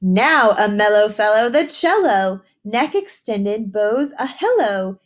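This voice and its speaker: Female primary-style teacher for a children's read-aloud: lively, swooping intonation, mid-range pitch, encouraging and full of enthusiasm. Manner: expressive, drawn-out reading with simple questions to listeners. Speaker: teacher reading a picture book aloud. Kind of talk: read-aloud lesson addressed to young children.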